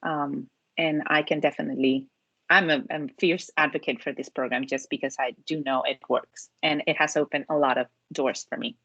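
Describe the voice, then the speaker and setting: A woman's voice: low-pitched, steady tone, normal speed, a bit bright, husky, no room sound, muffled recording. A woman from Spain, a native Spanish speaker, presenting a language program over a video call, with frequent ums and restarts as she explains.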